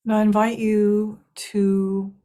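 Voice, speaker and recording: A woman leading a guided meditation in a soft, hushed manner, leaving long pauses between short phrases. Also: medium pitch, little pitch movement.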